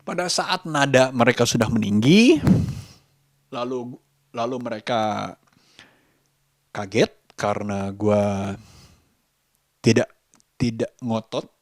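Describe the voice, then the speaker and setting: A man talking casually as a vlogger, halting and deliberate, repeating phrases for emphasis. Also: mid-range voice, dry recording, clean studio sound. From Indonesia. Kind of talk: casual vlog monologue.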